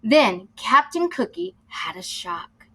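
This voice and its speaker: Bright, unhurried woman reading to young children. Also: fairly high pitch, big pitch swings, husky, rough recording. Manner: explains to young listeners as she reads. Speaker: teacher reading a picture book aloud. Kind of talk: expressive children's story read-aloud with asides.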